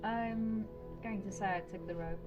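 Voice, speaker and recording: A woman chatting casually as a player in a tabletop game. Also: mid-range voice. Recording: dull, distant mic under loud music. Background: loud music.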